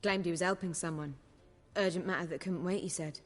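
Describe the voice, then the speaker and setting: An actress playing a sharp-tongued, worldly woman in a video game, with a sardonic, dry manner. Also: on the low side, fairly even intonation, brisk, big-room sound, lightly acted.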